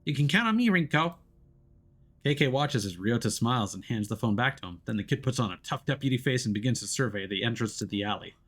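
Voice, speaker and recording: A man's voice: on the low side, quick pace, few pauses. A man streaming a story game, reading its narration aloud in a dramatic storytelling style. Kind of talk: dramatic narration of a visual novel.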